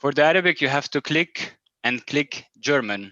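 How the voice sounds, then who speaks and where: A man from Lebanon co-hosting an online event, giving quick, practical directions and switching among English, Arabic and Italian. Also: on the high side, expressive, bright, forceful tone, no echo. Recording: dry, dull lo-fi sound.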